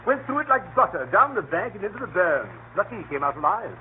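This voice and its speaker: A hearty, scatterbrained gentleman, high-pitched and bouncing up and down in tone. He blusters through a tinny old radio play. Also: sing-song, fast talker. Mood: hearty, chatty. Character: jovial, scatterbrained male upper-class country gentleman. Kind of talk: 1930s radio acting. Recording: tinny, lightly crackling 1930s radio broadcast.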